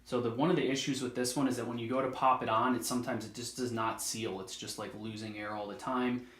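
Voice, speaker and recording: A man's voice: medium pitch, fairly even intonation, quick pace, a bit bright, reverberant. A man giving a casual product demonstration as a reviewer, thinking aloud in a loose, slightly hesitant way.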